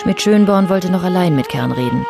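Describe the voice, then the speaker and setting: A woman's voice: fairly low pitch, lively intonation, brisk, gravelly, over music. A woman narrating a crime story as a composed, observant storyteller in a scripted radio drama.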